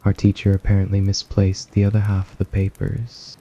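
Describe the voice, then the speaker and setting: A man's voice: lowish voice, completely flat delivery, fairly quick, dark tone, lo-fi sound. A man voicing an irritated, socially awkward smart student in a blunt, grudging way for an ASMR roleplay. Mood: blunt, reluctant.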